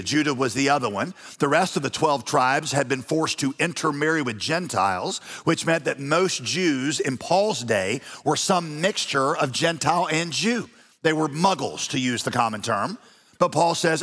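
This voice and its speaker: A man preaching a sermon as pastor, animated and folksy with touches of humor. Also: fairly high pitch. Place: large church auditorium. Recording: long reverb of a church hall.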